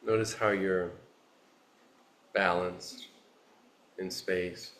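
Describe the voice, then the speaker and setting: A man leading a guided meditation as a teacher, speaking in a soothing, unhurried manner with long pauses. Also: low-pitched, steady tone, a bit bright. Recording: roomy, echoing space.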